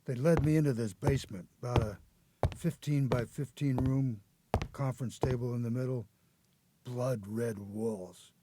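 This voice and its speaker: An actor playing a haunted old grandfather, an escaped convict telling his story haltingly in a radio drama. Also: medium pitch, natural voice. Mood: haunted, agitated. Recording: noticeable background noise.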